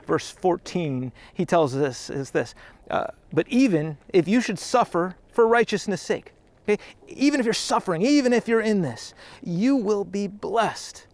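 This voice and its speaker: A man in his thirties preaching a sermon outdoors on a trail, earnest and conversational, with frequent restarts. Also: a little high. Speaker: pastor.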